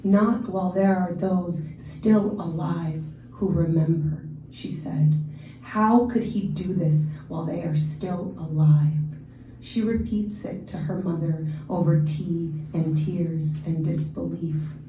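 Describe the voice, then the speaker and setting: A woman poet, from Ukraine and raised in the Washington DC area, with Russian as her first language, giving a measured, deliberate poetry reading with brief casual asides. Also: on the low side, fairly even intonation, warm tone, a little hiss or hum. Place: reading venue, roomy space. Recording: roomy live recording from a distance.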